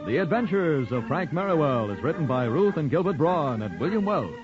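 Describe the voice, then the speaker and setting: A man's voice: fairly high pitch, very animated, fairly quick. A man reading scripted announcements in polished 1940s radio style. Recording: dull old radio broadcast recording. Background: music.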